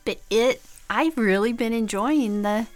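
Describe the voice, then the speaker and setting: A woman co-hosting a podcast in casual chat, calm and earnest as she tells stories. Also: on the high side, a little slow. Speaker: podcast co-host.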